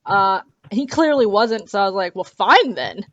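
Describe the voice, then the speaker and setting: A woman's voice: medium pitch, lively intonation, normal speed, a bit bright, no room sound. Dry-humored woman, muffled livestream mic. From Vietnamese-American family. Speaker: streamer. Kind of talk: casual livestream chat.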